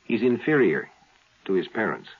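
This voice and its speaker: A man giving a deliberate, didactic explanation in the manner of a lecture. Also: on the low side, very animated, husky. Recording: thin, narrow-band vintage radio recording.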